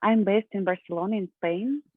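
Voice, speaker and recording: A woman's voice: medium pitch, brisk, no room sound. A woman from Russia giving a talk at an online conference over a video call, friendly and sprinkled with small laughs and fillers. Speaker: conference speaker. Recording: tinny phone-like online call audio.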